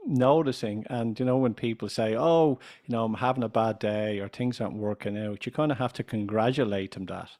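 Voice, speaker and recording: A man in his fifties with an Irish accent talks as a podcast guest, with a lowish voice. He speaks slowly and clearly, self-assured and deliberate. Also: darkish tone. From Ireland. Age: 50s. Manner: deliberate, self-assured, slows speech for clarity. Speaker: guest, business coach. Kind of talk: podcast interview.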